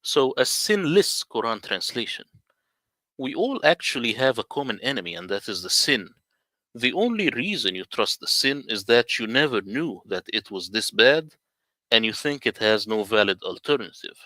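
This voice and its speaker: A man's voice: mid-range voice, very animated, moderate pace, pushed and bright, dry recording. A man from the Middle East whose first language is Arabic, earnestly telling his story in casual livestream talk. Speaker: guest translator.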